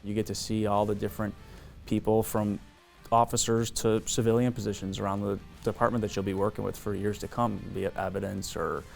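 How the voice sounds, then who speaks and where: Recruit from Illinois, low, rapid, heavy vocal fry. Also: male voice, level tone, faint music behind. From Riverside, Illinois. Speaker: police academy recruit. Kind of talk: interview answers.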